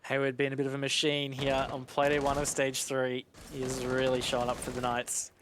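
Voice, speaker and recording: A man's voice: medium pitch, completely flat delivery, brisk. A man giving analytical esports commentary in a conversational, explanatory way. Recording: noisy game gunfire and clicks behind.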